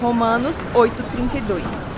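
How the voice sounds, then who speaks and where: A woman's voice: a little high, normal speed, close to an everyday voice. An actress playing a devout young church girl giving a public testimony in a radio drama. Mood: fervent. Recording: noisy outdoor street, crowd behind.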